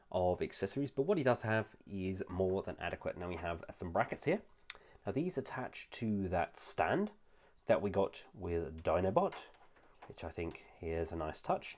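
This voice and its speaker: A man with a low, deep voice that sounds nasal and stuffed up from a lingering cold. He reviews a collectible figure in a chatty, enthusiastic way, often pausing with "uh". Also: darkish tone. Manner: enthusiastic, chatty, with frequent "uh" fillers. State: recovering from a cold. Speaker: toy reviewer. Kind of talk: casual product review.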